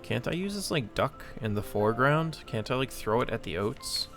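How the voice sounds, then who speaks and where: A man's voice: medium pitch, big pitch swings, normal speed. A man giving casual let's play commentary, thinking aloud hesitantly as he plays. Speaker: game streamer. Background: game music.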